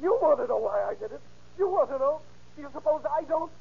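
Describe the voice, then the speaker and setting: A man's voice: very high-pitched, lively intonation, fast, gravelly, heavily acted. An actor playing an intense, slangy, lovesick young man in an old-time radio crime drama. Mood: anguished, bitter. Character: distraught, lovesick young man confessing to a shooting. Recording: dull, noisy vintage radio recording.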